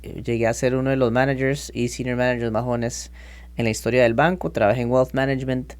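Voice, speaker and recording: A man in his thirties from Costa Rica, telling his story as a podcast guest in a lively, chatty way. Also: mid-range voice, a little fast, clean tone. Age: 30s. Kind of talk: casual interview talk.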